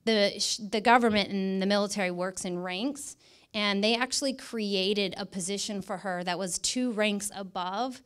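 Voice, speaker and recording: A woman's voice: mid-range voice, smooth. A middle-aged woman with an American accent speaks as a guest presenter, emphatically repeating words for stress in a motivational talk. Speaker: guest speaker, image consultant. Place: indoor stage in a dark event room. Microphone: handheld microphone.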